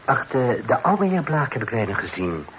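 Florid, swooping young gentleman, played by an actor. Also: mid-range voice, big pitch swings, moderate pace, lightly acted. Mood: formal, hesitant. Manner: florid, formal, polite. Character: courteous, eager young gentleman suitor in old Holland. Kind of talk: period radio drama acting. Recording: faintly noisy old radio play recording.

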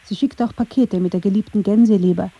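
A woman narrating a radio documentary from a script in a measured storytelling style. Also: mid-range voice, mellow, breathy edge, no echo, muffled recording.

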